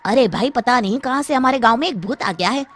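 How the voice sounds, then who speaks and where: A worried, gossipy cartoon village man acted by a woman, speaking with lively swings in pitch. The recording is clearly noisy. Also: brisk, natural voice. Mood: worried gossip. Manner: alarmed, gossipy. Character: gossiping, worried male villagers afraid of a ghost. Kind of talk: cartoon story character voice.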